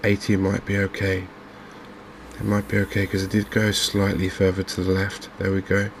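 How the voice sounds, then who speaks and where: A soft-spoken man giving unhurried ASMR commentary on a video game. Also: low voice, monotone, a little hiss or hum. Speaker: gaming video host.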